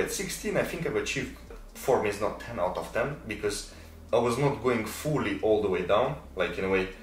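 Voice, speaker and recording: A man in his twenties with a Moldovan accent, a native Romanian and Russian speaker, talking casually and emphatically to camera as a fitness creator, repeating words for stress. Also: lowish voice. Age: 20s. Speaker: fitness content creator and athlete. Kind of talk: casual explanatory talk to camera. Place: indoor room, decorated for Christmas. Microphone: small lapel microphone. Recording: roomy echo, distant mic, faint noise.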